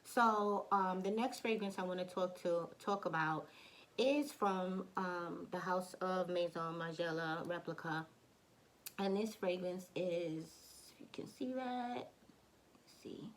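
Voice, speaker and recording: Hoarse chatty woman reviewing fragrances. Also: fairly low pitch, slow, slight background noise. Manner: chatty, many fillers and restarts. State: hoarse from allergies, coughing. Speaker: beauty vlogger. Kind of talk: casual product review.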